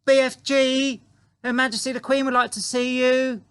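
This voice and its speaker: A man's voice: high voice, a little fast, no room sound, voice pushed up, natural voice. A man voicing a polite, earnest little girl in an audiobook, respectful and a little timid. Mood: calling out. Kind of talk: audiobook character acting.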